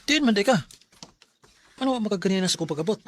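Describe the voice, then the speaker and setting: A man's voice: sits high, expressive, fast talker. An actor playing a young working man, a devoted but suspicious live-in boyfriend, with a Cebuano Filipino accent in a radio drama. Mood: suspicious, annoyed. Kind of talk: Bisaya radio drama acting.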